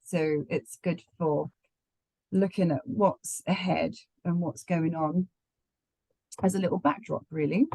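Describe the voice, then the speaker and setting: A woman astrologer with the flu, croaky, chatting casually with many ums. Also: lowish voice, slow, darkish tone, no room sound, poor audio. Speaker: astrologer co-host.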